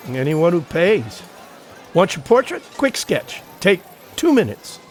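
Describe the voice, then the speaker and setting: Lively, high-pitched, glib sketch artist. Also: male voice, slow, slight background noise, full character voice. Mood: breezy salesman patter. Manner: wry, casual banter. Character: breezy, glib, broke male beach sketch artist. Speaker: actor. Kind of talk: audio drama acting.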